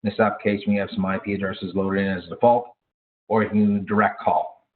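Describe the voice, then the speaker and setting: A low-voiced man leads an informal product training session. He talks haltingly, often hesitating and correcting himself mid-phrase. The audio is thin and narrow, like a phone connection. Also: little pitch movement, a little hiss or hum. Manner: halting, self-correcting delivery with frequent hesitations.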